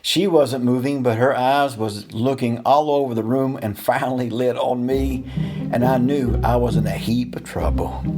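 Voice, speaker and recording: A middle-aged American man with a folksy, animated manner tells a personal anecdote to listeners. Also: medium pitch, moderate pace. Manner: folksy, animated, acts out dialogue. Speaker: storyteller. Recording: music behind the voice.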